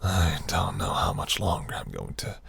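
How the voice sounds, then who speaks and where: A man playing a seductive, imperious demon prince in a fantasy audio roleplay, speaking in a silky, commanding, breathy murmur. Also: steady tone, normal speed, a bit bright, full character voice. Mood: coaxing, possessive. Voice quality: low, gravelly, breathy.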